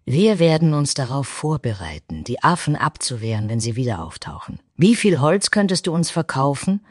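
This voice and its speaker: A man's voice: mid-range voice, big pitch swings, normal speed, darkish tone, no room sound, clean studio sound, pitched above the performer's own voice. A man voicing a resolute, courteous female soldier in a fantasy audio story, polite and decisive.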